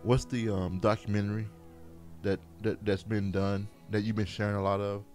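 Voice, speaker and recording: A man co-hosting a podcast with his wife, talking casually with a relaxed, hesitant, teasing manner. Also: fairly low pitch, moderate pace, soft background music. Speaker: podcast co-host. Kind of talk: casual chat between spouses.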